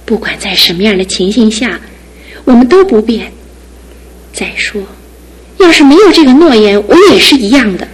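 An actress playing a devoted young fiancée in a studio radio drama, speaking earnestly and with soft insistence. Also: female voice, a little high, lively intonation, normal speed, pauses often, husky, low-quality recording. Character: devoted young fiancée, gentle but resolute.

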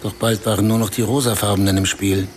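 A man's voice: fairly low pitch, flat delivery, fast, a little hiss or hum, close to an everyday voice. An actor in a radio play voicing a bitter, self-pitying man who recounts a wild night in a brooding, confessional way.

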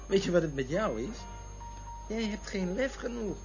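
A man's voice: high-pitched, very animated, normal speed, a little mellow. Crude joking man, restless, rambling, bitter asides, radio drama, lo-fi sound, faint music. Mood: agitated, provocative. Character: restless, crude, joking man brooding over love and women. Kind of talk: radio play acting. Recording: dull lo-fi radio recording with music.

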